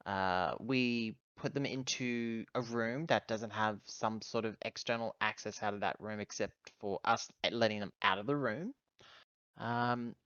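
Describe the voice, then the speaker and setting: A man's voice: medium pitch, a bit bright, clear, resonant tone, dry recording, highs cut off. A man chatting casually as a player in a tabletop roleplaying game, deliberating as he goes.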